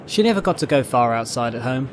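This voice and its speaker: A man narrates a dramatic story in a medium-pitched voice. The delivery is scripted storytelling, with faint vehicle and outdoor ambience laid behind it. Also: expressive, quick pace, background noise. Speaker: narrator, fan fiction reader.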